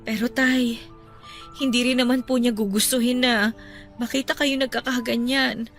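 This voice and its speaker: An actress playing a gentle, respectful young adult daughter consoling her father in an emotional radio drama, earnest and pleading. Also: fairly high pitch, level tone, moderate pace, lightly acted. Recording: music under the voice. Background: music.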